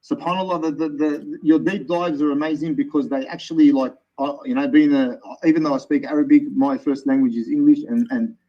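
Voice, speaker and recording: A man's voice: a little high, steady tone, brisk, few pauses. A man with a slightly raised voice calls in over a dull, muffled line. He keeps stumbling, repeating words and restarting sentences as he works his way to his question. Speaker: caller asking a question. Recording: dull lo-fi call audio.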